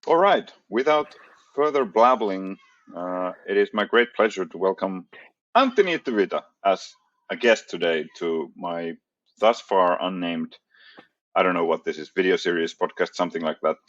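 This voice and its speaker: A man hosting a podcast interview, asking relaxed, probing questions. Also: low-pitched, moderate pace, dry recording, dull audio. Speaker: podcast host. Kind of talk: casual interview.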